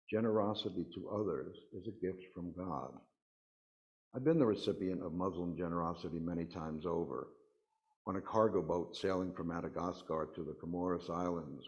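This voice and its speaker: A man's voice: sits low, a little mellow. A man reading a personal reflection aloud to a congregation at a worship service, in slightly muffled sound. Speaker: congregation member reading a vignette.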